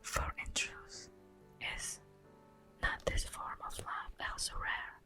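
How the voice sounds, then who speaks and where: Slow whispering elf woman, acted by a woman. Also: pushed and bright, soft background music. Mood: tender, earnest. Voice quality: breathy unvoiced whisper. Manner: slow, formal, poetic, drawn-out delivery. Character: solemn, tender female elf guardian of the forest. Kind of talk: whispered ASMR roleplay monologue.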